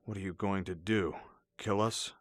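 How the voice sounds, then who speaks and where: Older colonel, low pitch, sardonic, defiant, radio drama delivery. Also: male voice, fairly even intonation, moderate pace, no room sound.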